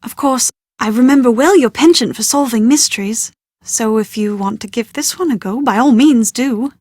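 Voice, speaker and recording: Prim, high-voiced young British bride-to-be, played by a woman. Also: moderate pace, darkish tone, no echo, clean studio sound, lightly acted. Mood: brisk, requesting. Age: young adult. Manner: prim, articulate, genteel phrasing. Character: well-bred young bride-to-be, proud, exasperated yet confident. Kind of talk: scripted video game dialogue.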